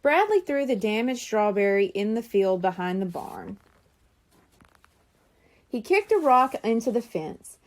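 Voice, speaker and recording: A woman narrating a children's storybook in an animated, scripted reading style. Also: fairly high pitch, a little slow, pauses often. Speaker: audiobook reader.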